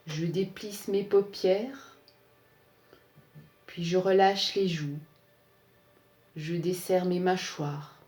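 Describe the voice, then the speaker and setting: Female meditation guide, soft-edged mellow tone, calm measured phrasing, recorded with noticeable room echo. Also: lowish voice. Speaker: yoga nidra instructor. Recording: roomy, echoing sound.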